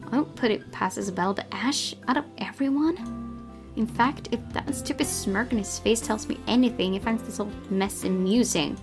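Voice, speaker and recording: A woman's voice: on the high side, normal speed. A woman reading a visual novel aloud as first-person narration. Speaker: gaming channel host reading aloud. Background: music.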